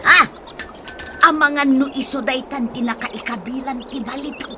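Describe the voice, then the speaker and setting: Woman acting as high-voiced, nosy Ilocano village woman. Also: normal speed, noisy background, close to an everyday voice. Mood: eager, sly. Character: curious, nosy, money-minded village woman, sly accomplice. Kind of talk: Ilocano comedy radio-style drama acting.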